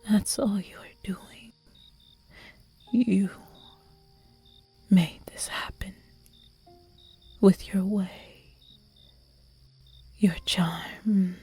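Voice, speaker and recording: A woman voicing a seductive, predatory female vampire who teases her prey in slow, close, breathy whispers in an ASMR roleplay. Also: medium pitch, dark tone, exaggerated voice.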